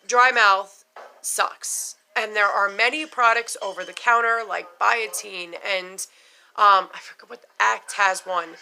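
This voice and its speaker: A middle-aged woman with a bright, clear voice talks straight to the camera in a loose, unscripted vlog. She is frank and chatty, tosses in casual swearing and leans on "you know" and "like" as she goes. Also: mid-range voice. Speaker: vlogger sharing denture experiences.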